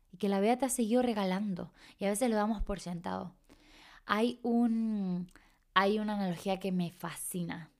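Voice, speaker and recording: A woman's voice: mid-range voice, creaky, darkish tone. A young woman from Chile with a Chilean Spanish accent, hosting a reflective solo podcast and sometimes stretching words or dropping to a whisper for emphasis. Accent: Chilean Spanish, slightly mixed. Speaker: podcast host and coach.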